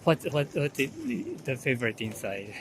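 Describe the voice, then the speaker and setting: A man with Japanese as his first language, interviewing tourists on the street in English, halting and searching for words. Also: medium pitch, lively intonation, noisy background.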